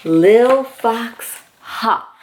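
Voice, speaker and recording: A woman reading a picture book aloud to young children as a host, with excited, encouraging exclamations. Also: a little high, extremely slow, slight background noise, poor audio.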